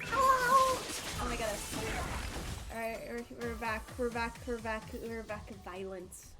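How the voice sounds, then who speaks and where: A woman's voice: fairly high pitch, lively intonation, measured pace. A woman game streamer chatting casually to her live audience in a playful, rambling way, repeating phrases. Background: game music.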